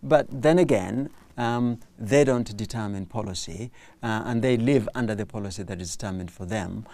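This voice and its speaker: Low gravelly man hosting an interview. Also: moderate pace, a little mellow. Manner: thinks aloud, restarts and hedges often. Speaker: interview host. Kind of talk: interview conversation.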